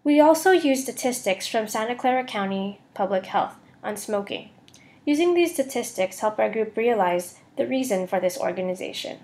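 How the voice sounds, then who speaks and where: Higher-pitched young woman presenting. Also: expressive, moderate pace, darkish tone. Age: young adult. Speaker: student presenter. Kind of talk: scripted presentation voice-over.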